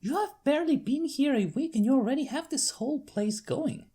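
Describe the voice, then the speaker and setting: Young female cartoon pony played by a woman, high-pitched, lively, inquisitive and chatty. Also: a little fast. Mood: friendly. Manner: bubbly, inquisitive.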